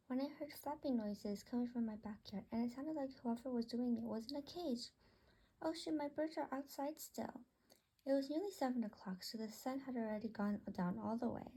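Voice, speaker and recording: A woman reading her own story aloud in an expressive storybook style with a soft, husky voice. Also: a little high, low-quality recording.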